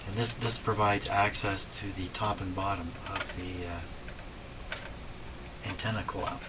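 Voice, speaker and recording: A man's voice: low-pitched, fairly even intonation, measured pace, background noise. A man thinking aloud in a casual hands-on demonstration, often asking himself questions as he works. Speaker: radio repair hobbyist, presenter. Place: indoor radio workshop.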